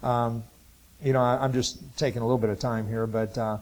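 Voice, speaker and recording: Older guy in his 60s from ohio, rambling church talk, lots of uhs and restarts, middle-pitched voice. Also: flat delivery, a little mellow. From Ohio, USA. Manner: halting and rambling, many filler sounds and restarts. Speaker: Bible college missions teacher. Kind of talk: informal testimony talk to a church group.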